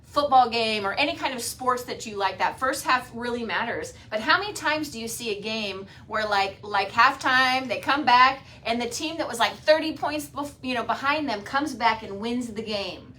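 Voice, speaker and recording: Upbeat woman podcast host, fairly high voice. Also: moderate pace, hardly any pauses, sharp tone, slight background noise. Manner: upbeat, motivational, laughs often. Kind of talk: casual interview chat.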